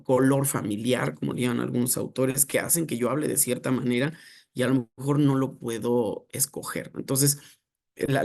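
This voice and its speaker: A man giving an academic lecture, speaking in a measured, reflective way with filler pauses. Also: mid-range voice, creaky, darkish tone.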